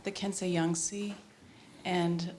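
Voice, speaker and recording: A woman from the audience politely asking the teacher a question in a soft, careful voice. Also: on the low side, little pitch movement, extremely slow, slight background noise.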